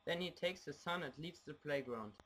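A man's voice: on the high side, normal speed, fairly bright tone, low-quality recording. A young man from Germany giving scripted narration for an amateur radio play.

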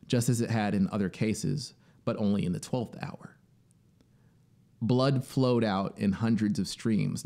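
A man in his thirties reads a literary story in a deliberate, dramatic way, drawing out key words into a close studio microphone. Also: on the low side, vocal fry, mellow. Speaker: narrator and musician. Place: small home studio, indoors. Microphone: close studio microphone on boom arm. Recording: music behind the voice. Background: synthesizer soundscape music.

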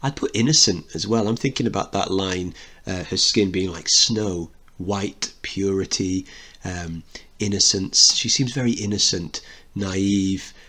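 A man's voice: low voice, a little slow, pushed and bright. A man teaching a recorded literature lesson, explaining a play and posing questions to students. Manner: explanatory, checks understanding with questions.

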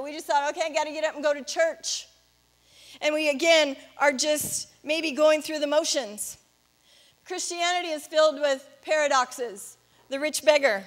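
Woman pastor, middle-aged, high-pitched voice, reflective explanatory preaching, rhetorical questions. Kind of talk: sermon. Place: church stage, indoors.

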